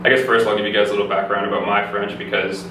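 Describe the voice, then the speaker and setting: A young man from Canada, a physiotherapy student, explaining things in a steady, easygoing way in an interview. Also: on the low side, racing. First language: English. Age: young adult. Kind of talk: casual interview answers. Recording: echoey room, distant mic, background noise.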